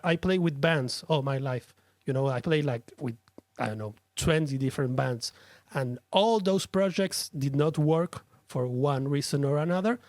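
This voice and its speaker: A man's voice: mid-range voice, no room sound. A man from Argentina with an Argentinian accent, a Spanish speaker talking as a guest, short on sleep, searching for words and restarting often. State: tired from little sleep. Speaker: guest, musician and streamer. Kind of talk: casual interview chat.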